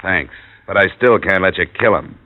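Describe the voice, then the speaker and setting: An actor playing a tough, world-weary American investigator in 1950s radio noir, terse and measured in dialogue and narration. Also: male voice, low-pitched, natural voice. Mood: wary, firm. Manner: terse, measured, hard-boiled. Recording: muffled, noisy old radio recording.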